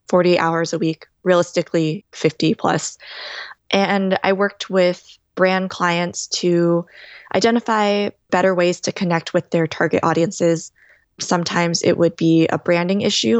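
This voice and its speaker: A woman in her thirties, a DJ, answering interview questions in a measured, explanatory way. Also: on the low side, no echo. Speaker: DJ and guest.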